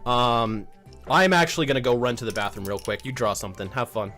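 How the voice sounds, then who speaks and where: A man's voice: mid-range voice, brisk, pushed and bright, faint music behind. A man co-hosting a livestream with casual, jokey, exclamatory banter. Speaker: livestream co-host.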